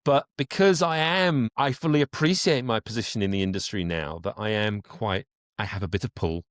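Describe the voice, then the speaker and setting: Low, dry-sounding man, effusive in conversation. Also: moderate pace, no room sound. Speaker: voice actor, interview guest. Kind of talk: casual interview chat.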